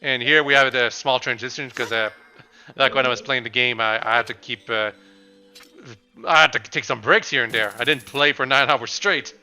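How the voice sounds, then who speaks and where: A young man with a slightly raspy voice, giving casual, rambling commentary over a video game with frequent pauses and restarts. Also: mid-range voice, sharp tone, soft background music. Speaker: let's play commentator.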